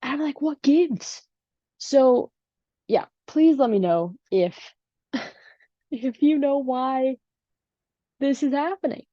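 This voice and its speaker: A woman's voice: sits high, takes it slowly, pauses often, warm tone, no echo, muffled recording. A woman with a Chicago accent hosting her own podcast, talking casually and rambling with lots of ums. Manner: rambling and chatty, with many ums. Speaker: podcast host. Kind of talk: casual solo podcast chat. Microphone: home setup without usual equipment.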